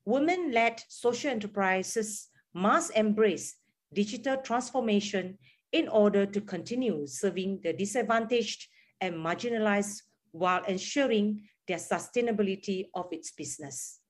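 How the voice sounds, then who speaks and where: A middle-aged woman heading a foundation, giving a measured, formal prepared speech over a webcam video call from a room at home. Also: mid-range voice. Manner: measured, reads formally with some restarts.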